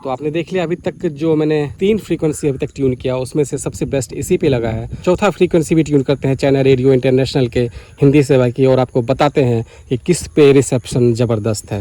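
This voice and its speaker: Mellow-voiced man presenting a tutorial vlog. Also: mid-range voice. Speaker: vlogger presenting a radio tutorial. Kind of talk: explanatory vlog commentary while demonstrating. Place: outdoors beside farm fields.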